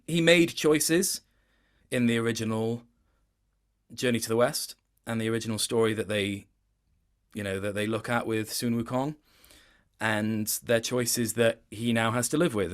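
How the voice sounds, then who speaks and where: A man's voice: lowish voice, steady tone, measured pace. A man speaking casually as an interviewed voice actor, thoughtful and hesitant, often circling back to rephrase what he is saying.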